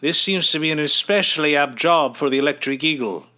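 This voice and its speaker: A man's voice: on the high side, fairly quick, loud music behind the voice, pitched below the performer's own voice, lightly acted. A man voicing a proper, loyal butler in a radio drama, who speaks formally and deferentially. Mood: urgent. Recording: radio drama with background hiss.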